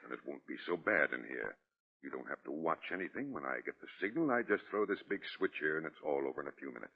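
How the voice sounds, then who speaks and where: Matter-of-fact American executioner, deep and lively, thin narrow old-radio sound. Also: male voice, fast talker, creaky, husky, full character voice. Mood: businesslike. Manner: casual, folksy. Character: folksy, matter-of-fact prison executioner, male. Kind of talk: 1940s radio drama acting.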